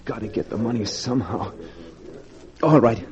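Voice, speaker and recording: A man's voice: mid-range voice, moderate pace, darkish tone, natural voice. An actor playing a desperate, broke male locksmith, strained and pleading, in a 1940s radio drama. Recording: dull vintage radio recording with music.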